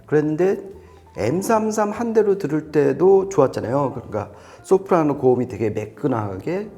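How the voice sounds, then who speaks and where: A man's voice: fairly high pitch, expressive, normal speed, mellow, soft background music. A man reviewing audio gear, explaining it conversationally to a co-presenter.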